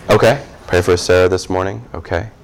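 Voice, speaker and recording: A man with a fairly low voice leads church prayer requests in an easy, conversational way. He fills pauses with "good, good" and "okay." His talk is loose and friendly, not preached. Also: fairly even intonation, vocal fry. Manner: chatty, warm asides with repeated "good, good". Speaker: pastor. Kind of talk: casual church prayer time.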